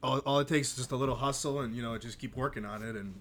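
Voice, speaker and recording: A man's voice: mid-range voice, steady tone, crowd noise. A man hosting a podcast, asking friendly questions in a casual interview. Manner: friendly, interested, quick affirmations. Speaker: podcast host.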